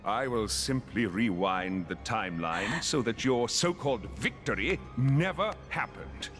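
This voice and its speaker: An actor playing a grandiose conquering time-travelling supervillain in a video game, with pompous, theatrical delivery. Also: male voice, medium pitch, lively intonation, measured pace, fairly bright tone, faint music behind. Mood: scornful.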